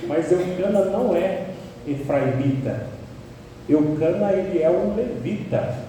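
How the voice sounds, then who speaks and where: A man from Paraná preaches a verse-by-verse sermon in a church sanctuary. His voice is high yet mellow, and he leans hard on key words. It is picked up from a distance, with long reverb filling the hall. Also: a little slow, a little hiss or hum. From Paraná, Brazil. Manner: emphatic, builds to sharp peaks on key words. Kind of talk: expository sermon.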